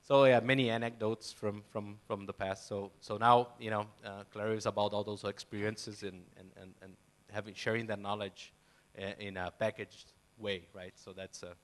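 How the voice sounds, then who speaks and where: A man's voice: fairly low pitch, steady tone, normal speed, hardly any pauses. A man, speaking hesitantly as a panelist on a conference stage. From Brazil. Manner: hesitant, many fillers and restarts. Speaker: panelist, software company CEO. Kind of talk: conference panel self-introduction.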